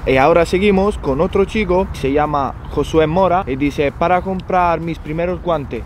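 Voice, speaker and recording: A man of twenty from Italy, speaking Spanish with an Italian accent, reading out questions in a relaxed, slightly halting way. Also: a little high, slight background noise. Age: 20s. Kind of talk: casual chat. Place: outdoor terrace by a lawn.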